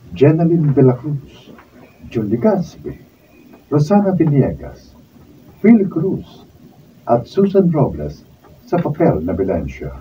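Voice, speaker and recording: A man announcing a radio drama in a measured, solemn, theatrical scripted style. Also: mid-range voice, recorded from a distance, muffled recording, slight background noise. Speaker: drama announcer reading moral and credits.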